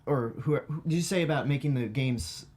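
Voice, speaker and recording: A man's voice: medium pitch, fairly quick, darkish tone. A man giving his opinions in a casual panel chat as a film critic, somewhat hesitant as he explains. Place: studio.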